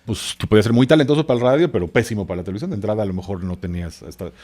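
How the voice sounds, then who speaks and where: A Mexican man with a low-pitched voice talks as an expert guest on a podcast. He explains casually and hesitantly, often drifting into side remarks in a loose conversational way. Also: very fast, darkish tone. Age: 40s. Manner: rambling, hesitant, with asides.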